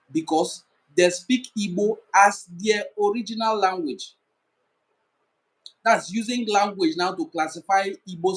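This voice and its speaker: Nigerian-accented man, high pitch, educational talk, repetitive emphatic listing. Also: poor audio. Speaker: cultural commentator.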